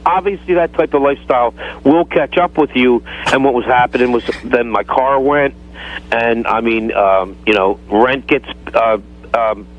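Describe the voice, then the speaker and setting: A man's voice: medium pitch, moderate pace, slight background noise. Man on a tinny phone line. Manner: evasive, rambling, repeats himself. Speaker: phone-in guest. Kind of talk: casual explaining on a radio show. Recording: thin, band-limited phone line.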